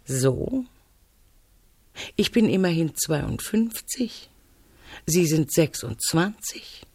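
Imperious, low-voiced older rich woman. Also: expressive, slow, mellow, husky, full character voice. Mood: commanding, brisk. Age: 50s. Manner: commanding, cool, mocking. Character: imperious, sardonic 52-year-old wealthy female crime novelist employer. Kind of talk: German radio drama acting.

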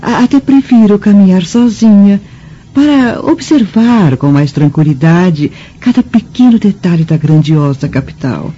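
A Brazilian-accented woman playing a humble, deferential older household slave in a radio drama, with a husky voice. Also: mid-range voice, animated, a little slow, highs cut off. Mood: humble, weary. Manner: deferential, gentle.

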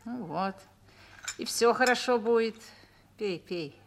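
An actress playing a calm, motherly older army wife in a Russian radio drama, speaking in a soothing, patient way. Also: on the high side, takes it slowly, a little hiss or hum. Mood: warm, reminiscing.

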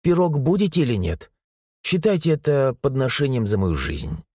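Blunt joking young woman in an audiobook, a guy reading her a bit higher, husky voice. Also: big pitch swings, normal speed, darkish tone, no echo. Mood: wry. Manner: blunt, dry-humored.

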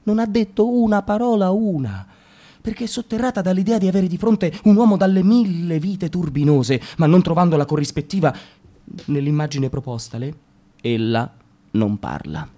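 A man reading a comic monologue for radio theatre in a wry, sardonic way, with sudden jumps in pitch. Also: normal speed, warm tone. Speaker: radio drama reader.

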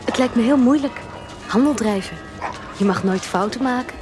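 Woman playing polite naive young niece, highish voice, radio play, bit of music behind. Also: moderate pace, natural voice. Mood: curious, polite. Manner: polite, earnest, inquisitive. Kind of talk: Dutch radio drama acting.

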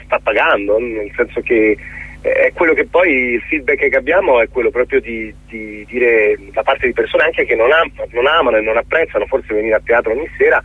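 A middle-aged man giving a reflective radio interview as a theatre guest, articulate but often pausing to search for words. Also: medium pitch, slightly rough, no room sound. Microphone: telephone. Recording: muffled, thin telephone line on radio.

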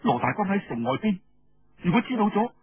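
A man's voice: high voice, big pitch swings, fairly quick, slightly rough, no room sound, highs cut off, soft background music, voice pushed up, exaggerated voice. A man voicing an impetuous, fretful young woman in oral storytelling, with a Cantonese accent and an urgent, exclaiming manner. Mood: distressed, pleading.

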